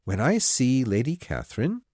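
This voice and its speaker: A woman voicing a pompous, self-satisfied young male clergyman who proposes, speaking in a slow, smug, insistent way. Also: rumbling low, very animated, warm tone, no echo, studio quality, pitched below the performer's own voice, exaggerated voice. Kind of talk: storybook character voice.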